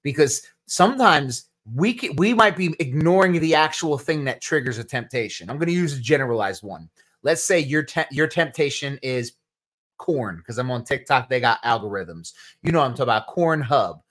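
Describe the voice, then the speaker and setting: A man's voice: mid-range voice, expressive, moderate pace, fairly bright tone. Casual New Jersey man answering chat questions. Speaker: podcast host.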